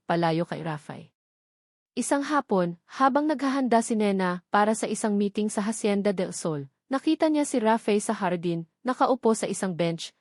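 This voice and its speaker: A woman's voice: mid-range voice, a little mellow, dry recording. Woman narrating a story, dry close sound. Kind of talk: scripted narration of a Tagalog romance story.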